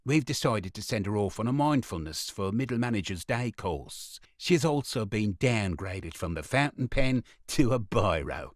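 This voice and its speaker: A man's voice: lowish voice, lively intonation, moderate pace, hardly any pauses, dry recording, clean studio sound, lightly acted. A man playing a dismissive, bureaucratic factory manager dictating a formal report in clipped phrases for a radio play. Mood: officious.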